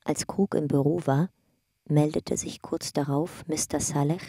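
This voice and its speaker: A woman's voice: low-pitched, steady tone, unhurried, warm tone, breathy edge, no room sound, clean studio sound. A woman narrating an investigation file in a factual, detached, scripted radio drama style.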